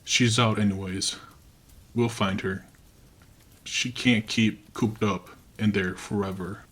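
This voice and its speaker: A man voicing a menacing male gang thug who speaks in gruff, clipped threats with a husky voice. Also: on the low side, fairly even intonation, slow, a bit bright, voice pushed down, full character voice. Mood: coldly menacing.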